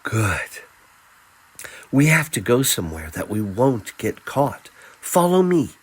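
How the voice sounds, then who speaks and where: A man voicing a gentle, protective young man who speaks in a reassuring, earnest, casual way in a fantasy audio story. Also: medium pitch, big pitch swings, slow, slightly rough, pitched above the performer's own voice, exaggerated voice. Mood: urgent.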